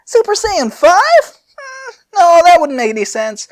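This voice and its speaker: An excitable male anime schemer. The voice jumps very high and swoops around. He is gleeful and delighted with his own creations, exclaiming loudly. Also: a little slow, exaggerated voice. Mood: excited, pondering. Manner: exclamatory, self-congratulating.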